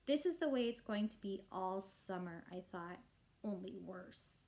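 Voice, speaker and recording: A woman's voice: medium pitch, expressive, a little slow, warm tone. A woman narrating a children's story expressively, like a teacher reading aloud.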